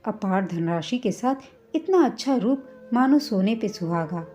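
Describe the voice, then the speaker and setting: A woman's voice: mid-range voice, expressive, measured pace, mellow. A woman narrating a scripted family story as a storyteller. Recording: slightly dull, muffled recording. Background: background music.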